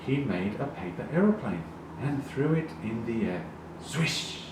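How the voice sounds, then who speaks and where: A man reading a children's picture book aloud in a deliberate, animated way, with emphasized sound words. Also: medium pitch. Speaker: storybook reader. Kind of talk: expressive children's read-along narration. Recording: very echoey, distant, noisy room.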